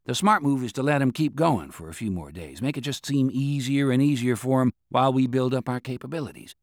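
A man voicing a blunt veteran military officer who speaks in a brash, profane, fast way. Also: medium pitch, no echo, studio quality, natural voice. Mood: forceful.

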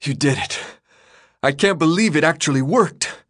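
A tough, blunt teenage boy who leads from the front, pitched higher than the narration, as a male narrator puts him on. He talks in short, firm bursts in an audiobook reading. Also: lively intonation, normal speed, fairly bright tone. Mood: shaken.

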